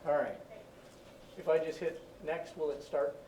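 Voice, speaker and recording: A man lectures his class with patient explanations and questions. His voice sits a bit high for a man. He sounds distant, and the classroom echoes with faint ambient noise. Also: steady tone, measured pace, mellow. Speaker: teacher. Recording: echoey classroom, far from the mic.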